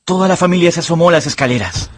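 An actor narrating an adventure novel as a storyteller in a dramatized radio audiobook. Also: male voice, fairly high pitch, animated, racing, husky. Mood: grave storytelling.

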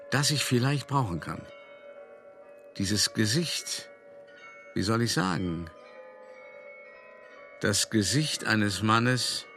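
An actor playing a calculating, urbane male art dealer who recounts his past deliberately and confidingly in a radio play monologue. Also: medium pitch, vocal fry, pushed and bright, exaggerated voice. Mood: musing. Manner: deliberate, confiding, addressing the listener directly. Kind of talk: first-person dramatic monologue in a German radio play.

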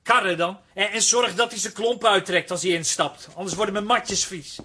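A man's voice: sits high, moderate pace, background noise, natural voice. An actor playing a flustered, fussy, lovestruck man with a hesitant, stammering delivery in a Dutch radio drama.

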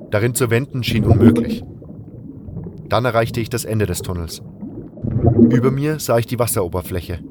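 A man's voice: fairly low pitch, very noisy. A man reading scripted first-person narration for a fantasy fan audio drama.

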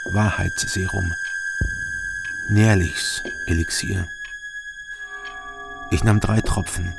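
A man's voice: deep voice, steady tone, takes it slowly, vocal fry, loud music behind the voice, full character voice. An actor playing a guilt-ridden East German man in a radio crime drama, brooding and terse as he tells his story. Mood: brooding, bleak. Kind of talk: radio crime drama, first-person narration and dialogue.

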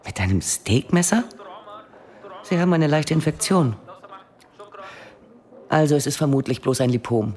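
An actor, a man, playing a calm, candid, reassuring doctor in a radio drama. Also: a little high, moderate pace, pauses often, close to an everyday voice.

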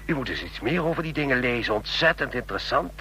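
An actor in a Dutch radio drama playing a chatty, smug train passenger obsessed with spy stories, talking in a knowing, needling way. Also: male voice, expressive, fast talker, close to an everyday voice. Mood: gleefully needling. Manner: talkative, knowing, needling with rhetorical questions. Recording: noisy radio play with vehicle rumble.

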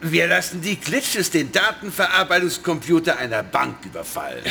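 Gravelly, bossy villainous hacker boss. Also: male voice, fairly high pitch, expressive, moderate pace, bright, forceful tone, a little hiss or hum, heavily acted. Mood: scheming. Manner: barking, domineering, scornful. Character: finster, bossy male hacker boss, short-tempered schemer. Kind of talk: children's radio play acting.

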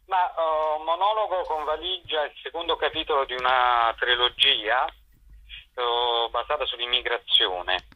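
A man's voice: mid-range voice, measured pace. Middle-aged man on a dull phone-like recording. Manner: hesitant, searching for words, explanatory. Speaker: theatre director and performer. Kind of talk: informal spoken interview answers. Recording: dull lo-fi telephone-radio sound.

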